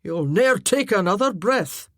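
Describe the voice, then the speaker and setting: An earnest giant of a Highland warrior, voiced by a woman reading the story aloud. He talks loudly and sincerely, his pitch rising and falling freely. The recording is close and dry. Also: expressive, measured pace, dry recording. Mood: threatening. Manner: earnest, chatty, loud. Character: huge earnest male Highland warrior, former blacksmith.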